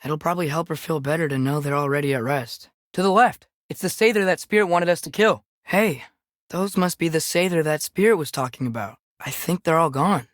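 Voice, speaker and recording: A man's voice: fairly high pitch, brisk, a bit bright, no room sound, studio quality, close to an everyday voice. A young man voicing an earnest, curious teenage boy adventurer in a video game, with natural, inquisitive phrasing. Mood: earnest, concerned. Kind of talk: video game voice acting, companion dialogue.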